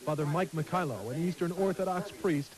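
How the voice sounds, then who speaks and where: A male television reporter delivering a formal, measured news package. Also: on the high side, crowd noise.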